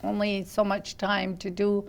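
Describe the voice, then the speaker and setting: Older canadian lady hosting a laid-back chat, talks slow, asks questions. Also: medium pitch, monotone, vocal fry. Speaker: interviewer, host. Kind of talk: casual conversational interview.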